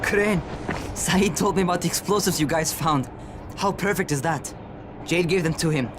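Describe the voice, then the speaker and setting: A man's voice: on the high side, normal speed, noisy background, close to an everyday voice. An actor playing a headstrong, reckless young man in a video game, speaking informally and impulsively. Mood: excited. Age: young adult.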